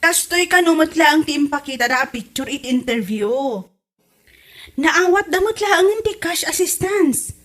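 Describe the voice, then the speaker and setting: Woman acting an eager high-voiced Ilocano neighbour. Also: animated, unhurried, fairly bright tone. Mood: reassuring. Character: eager, reassuring village neighbour bearing news. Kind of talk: Ilocano comedy drama podcast acting.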